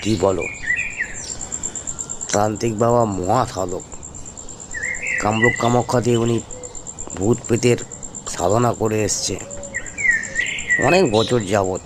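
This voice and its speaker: A man's voice: lowish voice, steady tone, takes it slowly, pauses often. A man voicing a helpful, reassuring elder-brother figure who gives advice in a cartoon ghost story. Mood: advising. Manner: reassuring, advising. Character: Poltu-da, helpful, reassuring elder-brother village problem solver. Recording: clear background noise, birds and crickets.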